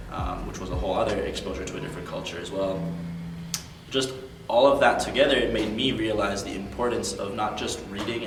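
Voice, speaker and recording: Young male interviewee, earnest and reflective, fillers, low-set voice, recorded at a distance. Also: echoey room. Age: young adult. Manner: earnest, thoughtful, many ums and likes. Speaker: interview guest.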